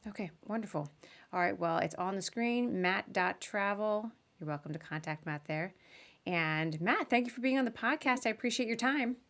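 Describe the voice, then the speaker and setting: A woman's voice: medium pitch, lively intonation, moderate pace, a bit bright. A woman hosting a podcast, interviewing a guest in a casual, chatty and informal way. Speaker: podcast host.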